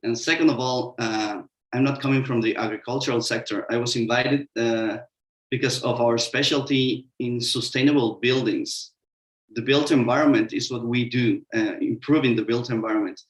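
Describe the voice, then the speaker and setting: A man from Mexico with a Mexican accent, a Spanish speaker giving a hesitant, filler-heavy talk as a panelist in an online webinar. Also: medium pitch. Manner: hesitant, many "uh" fillers, explanatory. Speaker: webinar panelist, sustainable construction consultant. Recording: echoey room.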